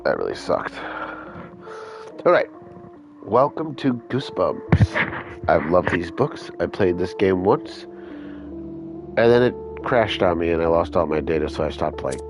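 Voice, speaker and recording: A man's voice: low-pitched, big pitch swings, unhurried, pauses often. A man live-streaming a game with a stuffy nose, talking casually and grumbling with the odd swear. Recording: dull lo-fi stream audio. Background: game music.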